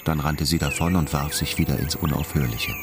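A man's voice: very deep voice, steady tone, fairly quick, over music. A man narrating a radio drama as a grave storyteller, in a scripted, dramatic style. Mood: grave, storytelling. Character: grave storyteller of a science-fiction saga.

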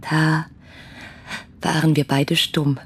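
A woman playing an ardent, romantic young letter writer in a German radio play, with a breathy, confiding delivery that often nears a whisper. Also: low-pitched, flat delivery, slow pace, slight background noise. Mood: awed, eager. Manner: rapturous, impulsive, confiding.

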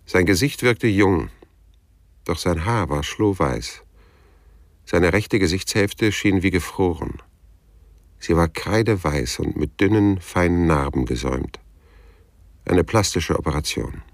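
Hard-boiled male detective narrator for radio crime drama: gravelly, laconic, very dry close studio sound. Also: low-pitched, lively intonation, no room sound, heavily acted. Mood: sober, reflective. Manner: measured, sober, laconic. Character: hard-boiled male private detective recounting his story.

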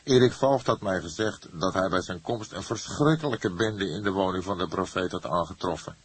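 A man's voice: sits low, moderate pace, husky. A man voicing an agitated, defiant young murder suspect in an audiobook, speaking emphatically and with emotion. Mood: tense, insistent. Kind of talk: acted audiobook dialogue.

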